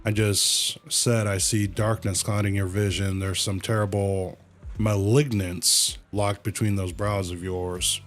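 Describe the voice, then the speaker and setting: A man's voice: fairly low pitch, level tone, measured pace, lightly acted. A man voicing a pushy, grandiose fake fortune teller from a video game, wheedling and pompous. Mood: wheedling, ominous.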